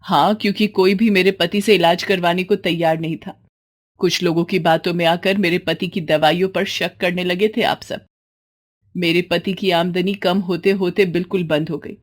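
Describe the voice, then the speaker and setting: A woman's voice: lowish voice, steady tone, normal speed, lightly acted. A woman voicing a wronged, middle-aged village widow in a story, speaking in a pointed, emotional, dramatic way. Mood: pained, earnest. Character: wronged, bitter village widow and mother, defiant.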